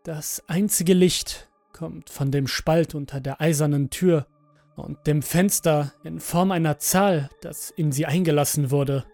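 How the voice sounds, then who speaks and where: Slow-speaking man narrating horror over music. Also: fairly high pitch, a little mellow, dry recording. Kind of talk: scripted first-person horror audio story narration. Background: background music.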